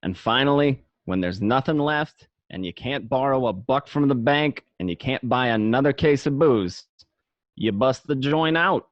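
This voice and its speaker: A man's voice: medium pitch, lively intonation, moderate pace, dry recording, lightly acted. A man playing a cynical, streetwise gangster who narrates his story in a radio play. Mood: matter-of-fact, cynical. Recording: muffled, dry home recording.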